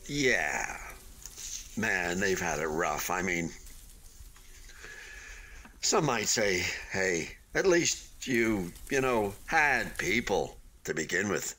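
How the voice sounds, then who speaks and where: An actor in a radio play voicing an unfinished hotel building, a wistful but stubbornly hopeful man who talks in a halting, conversational way. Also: low voice, sing-song, bright, forceful tone, heavily acted. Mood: wistful, subdued. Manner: halting, conversational, with sighs and emphatic swings.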